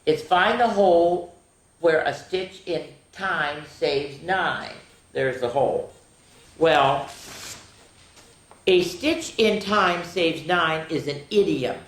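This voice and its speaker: Older female children's story host, low-to-mid register for a woman, sing-song reading with chatty asides, roomy echo. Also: slow. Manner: addresses young listeners directly. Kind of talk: reading nursery rhymes aloud to children. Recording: roomy, echoing room sound.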